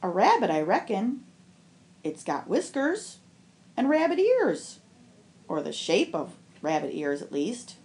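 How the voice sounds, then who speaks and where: Grizzled old fisherman, kindly, drawling, matter-of-fact, slow, woman reading. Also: mid-range voice, wildly swooping pitch, a little mellow, heavily acted. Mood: musing.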